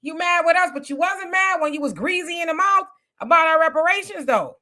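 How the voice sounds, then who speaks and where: A woman hosting a casual livestream, reading viewer comments aloud and talking back with lively, mocking asides. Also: very high-pitched, a little fast. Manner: animated, mocking voices when quoting others.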